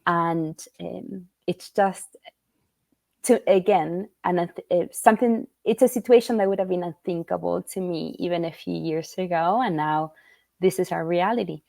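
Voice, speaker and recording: A woman's voice: lowish voice, moderate pace, vocal fry, warm tone, no room sound. A middle-aged woman from Argentina, a children's book author answering interview questions in an earnest, thoughtful way with frequent fillers and restarts. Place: at home in Utah.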